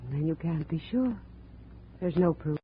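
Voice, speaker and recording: An actress playing a brittle, obsessive young wife in 1950s radio melodrama, speaking with intense insistence. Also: female voice, low-pitched, lively intonation, unhurried, muffled recording. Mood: anxious, insistent. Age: young adult. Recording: phone-thin, noisy old radio recording.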